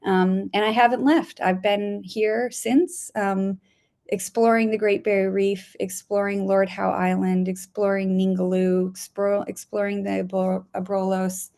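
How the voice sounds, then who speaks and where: A woman's voice: mid-range voice, flat delivery, unhurried, vocal fry, darkish tone. A woman from Spain who works as a scientist, chatting as a guest over a video call, with many ums, restarts and frequent laughter.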